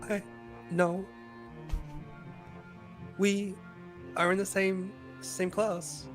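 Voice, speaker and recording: A man voicing a painfully shy schoolgirl from a visual novel, speaking quietly in halting stammers. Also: sits high, level tone, over music, pitched above the performer's own voice. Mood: timid, nervous.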